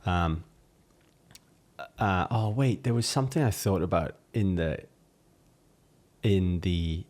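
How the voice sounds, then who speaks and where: A man's voice: deep voice, slow pace, darkish tone. A man, co-hosting a casual podcast, rambling and hesitant. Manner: rambling, hesitant, lots of fillers and laughs. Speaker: podcast co-host, musician. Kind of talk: casual chat.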